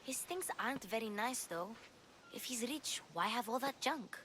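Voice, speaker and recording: A woman's voice: on the high side, animated, normal speed, bright, forceful tone, slight background noise. A woman voicing an eager, earnest little girl who likes to sneak and help, in a video game cutscene. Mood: curious. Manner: earnest, childlike. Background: background music.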